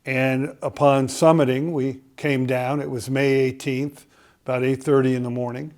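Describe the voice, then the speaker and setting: A man's voice: medium pitch, little pitch movement, normal speed, a little mellow. An older man from Connecticut, a podcast guest telling a long story, with many filler sounds and restarts. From Connecticut, USA.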